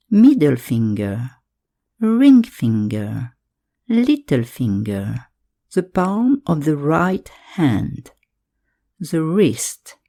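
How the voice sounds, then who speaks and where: A woman guiding a yoga nidra meditation in calm, measured instructions, leaving pauses between phrases. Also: low voice, gravelly. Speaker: meditation guide.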